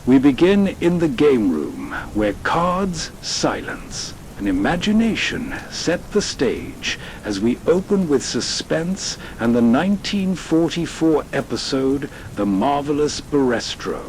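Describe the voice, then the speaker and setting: Slow, measured older man hosting. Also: on the high side, very animated, darkish tone, a little hiss or hum, poor audio. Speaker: host. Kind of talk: scripted introduction.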